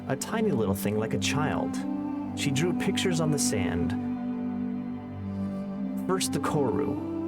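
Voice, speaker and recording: A man voicing a solemn island storyteller in a slow, deliberate dramatic reading of a fantasy tale. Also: mid-range voice. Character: solemn storyteller of a seafaring island people, reproachful.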